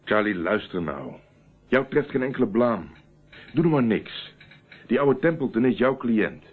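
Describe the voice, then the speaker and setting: An actor playing a calm, blunt yet courteous private investigator in a Dutch radio drama, speaking in a measured, direct way. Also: male voice, medium pitch. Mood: firm, reassuring. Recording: narrow, tinny phone-like old radio recording.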